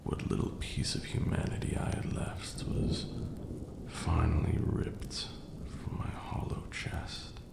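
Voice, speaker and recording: Man voicing a gravelly, abyssally deep vampire lord. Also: reverberant, background noise, exaggerated voice. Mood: sorrowful, bitter. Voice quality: gravelly, breathy murmur. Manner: theatrical, deliberate, brooding. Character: ancient brooding male vampire lord, cruel yet grieving. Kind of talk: slow dramatic ASMR roleplay monologue.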